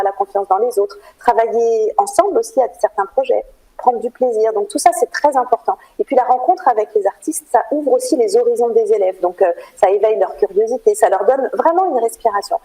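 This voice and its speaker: Woman, French from France, interview guest. Soft dark tone, mid pitch, earnest and explanatory with hesitant pauses. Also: low-quality recording. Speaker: interview guest, cultural programme manager at a theatre. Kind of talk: radio interview, explanatory answers.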